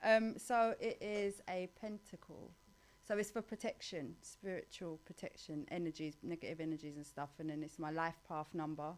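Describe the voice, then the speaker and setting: A woman's voice: low voice, fairly even intonation, normal speed. A woman with a London accent in her thirties, chatting informally and using slang as a guest in a casual interview. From East London, England. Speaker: guest on a talk show.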